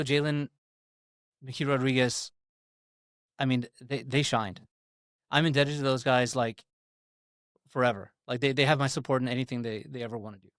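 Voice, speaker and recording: A man's voice: medium pitch, a bit bright, no echo. Male podcast guest, earnest and fervent, mid-range voice, dead-dry room. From Pakistan. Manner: earnest, impassioned, repeats words. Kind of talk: casual political discussion.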